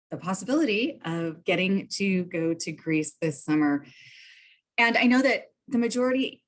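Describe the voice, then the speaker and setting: A woman instructor hosting an online information session, friendly and organized, speaking through a computer mic on a video call. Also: lowish voice. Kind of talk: casual online meeting.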